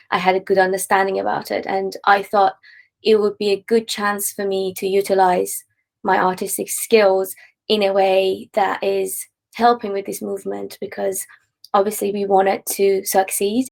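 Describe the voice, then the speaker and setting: A young woman talks with a gravelly, creaky edge, and her pitch stays fairly even. She speaks casually, dropping in likes and ums as she explains her work. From Iran. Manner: relaxed, with frequent likes and ums. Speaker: interviewee, freelance artist. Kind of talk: casual interview answers.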